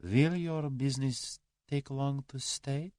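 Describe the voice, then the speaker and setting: A man voicing a cowardly, haughty aristocrat in an audiobook story, formal and halting. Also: fairly even intonation, no echo, clean studio sound, natural voice. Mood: guarded, courteous. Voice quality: soft-spoken, high when agitated.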